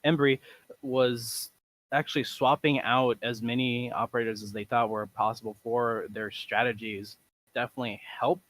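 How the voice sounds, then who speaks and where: A male esports caster with a Portuguese accent, commenting live on a match. His delivery is animated and he often restarts his words, and his voice sits at an ordinary middle pitch for a man. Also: low-quality recording.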